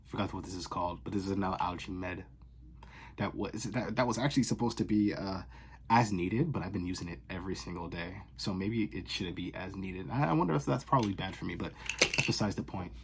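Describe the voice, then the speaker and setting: A young man from the Boston area, vlogging in casual, sarcastic rambles that swing from loud outbursts to whispers, recorded on his phone in his bedroom. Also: low-pitched, quick pace, breathy edge. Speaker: fragrance vlogger. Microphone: phone camera.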